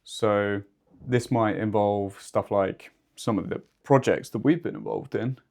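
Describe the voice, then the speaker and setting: A man co-hosting an informal podcast discussion, summing up points in a measured way. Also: lowish voice, fairly even intonation, creaky, a little mellow. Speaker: podcast co-host.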